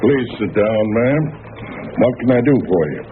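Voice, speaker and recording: An actor plays a weary police lieutenant in an old radio drama. He is guarded and matter-of-fact, halting at times, with lively movement in his pitch. The recording is narrow and slightly noisy. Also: lightly acted. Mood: official, guarded.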